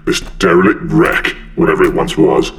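A deep-voiced, sarcastic space saboteur. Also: male voice, moderate pace. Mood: mocking, smug. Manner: sarcastic, flippant, mocking. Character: cocky, sarcastic male saboteur intruder. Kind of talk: science fiction audio drama acting.

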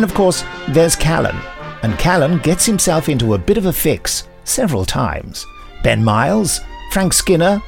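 A wry man co-hosting a podcast in a mock-pompous announcer manner. His voice is a little high and moves a lot. Music plays clearly beneath him. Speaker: podcast co-host. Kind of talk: mock-formal trailer presenting.

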